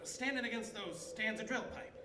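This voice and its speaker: A man's voice: high-pitched, normal speed, pushed and bright. An actor playing a jittery, weary oil-field geologist in a horror audio drama, hesitant and repeating his words. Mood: nervous, hushed. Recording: noisy track with ambient sound.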